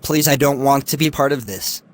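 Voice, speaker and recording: A man's voice: mid-range voice, moderate pace, natural voice. A man playing a wary, refusing student. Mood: dismissive. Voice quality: firm, dismissive refusal. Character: wary young male student, refusing firmly. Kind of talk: amateur drama acting.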